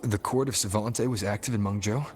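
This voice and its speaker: A man voicing a calm, steady wanderer hero in a game, speaking in short, even replies. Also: on the low side, brisk, soft background music, close to an everyday voice. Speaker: player character. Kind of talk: game cutscene voice acting.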